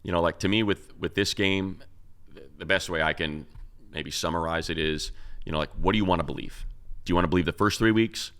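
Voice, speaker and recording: Very deep, resonant American man talking sports. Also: a little fast, a bit bright, clear, resonant tone. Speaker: sports show host. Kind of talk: casual sports analysis talk.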